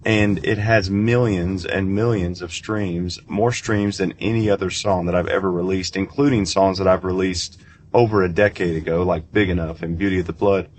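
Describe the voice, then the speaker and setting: A man with a low voice tells a story in an earnest, excited way. A faint ambient hum sits behind him. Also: normal speed. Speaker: gospel singer. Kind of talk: interview clip.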